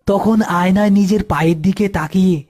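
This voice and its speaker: High-voiced man narrating a horror tale. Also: fairly even intonation, moderate pace, darkish tone.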